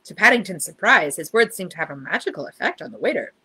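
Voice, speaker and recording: Woman, children's story reader, expressive, lively intonation, lightly raspy. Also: fairly low pitch, quick pace.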